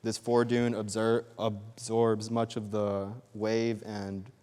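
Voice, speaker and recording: Young man, student presenter, low flat clear voice, long reverb in a hall. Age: young adult. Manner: steady, slightly halting with fillers. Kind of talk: research presentation. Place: lecture hall.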